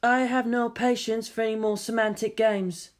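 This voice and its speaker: A cool, scheming young woman in a fan audiobook reading, voiced by the reader a little higher and with an altered tone. She speaks evenly and softly, every line controlled and insistent. There is a faint hint of amusement under the composure. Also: natural voice. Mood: composed. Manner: calm, measured, quietly insistent.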